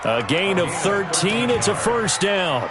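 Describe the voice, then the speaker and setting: A man's voice: sits high, very animated, slow, over loud music, a loud crowd, full character voice. A man voicing a polished television play-by-play football announcer in a sports video game. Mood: focused, announcing. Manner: polished broadcast delivery. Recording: loud stadium game noise behind. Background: loud stadium ambience.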